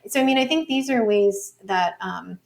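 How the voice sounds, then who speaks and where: Woman with a creaky, conversational voice. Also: mid-range voice, moderate pace. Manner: frequent "like" and "you know", thinking aloud. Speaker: interview guest, data scholar and teacher. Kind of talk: informal explanatory interview talk.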